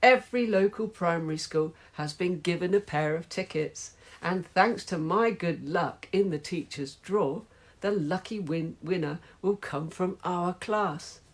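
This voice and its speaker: A woman's voice: fairly low pitch, a little slow. A woman reading a children's story, voicing a strict, stern female schoolteacher who speaks in a clipped, scolding way.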